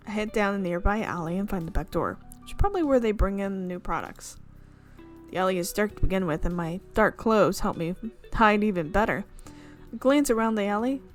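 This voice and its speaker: A woman hosting a gaming video, reading a visual novel's narration aloud and often stopping to correct her slips. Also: medium pitch. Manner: reads narration with frequent slips and self-corrections.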